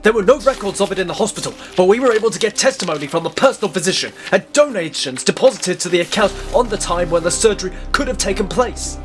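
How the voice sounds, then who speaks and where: A man's voice: high-pitched, animated, quick pace, hardly any pauses, music in the background. An actor playing a dutiful, persistent male legal aide, earnest and deferential, in an audio drama. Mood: earnest, insistent.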